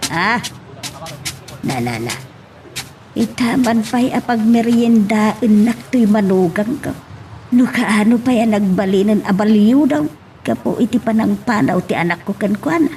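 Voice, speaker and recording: A woman's voice: mid-range voice, lively intonation, measured pace, a little mellow, slight background noise, lightly acted. A woman playing a fond elderly village mother-in-law with an Ilocano Filipino accent, hesitant, in an amateur audio drama. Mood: surprised, flustered. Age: older.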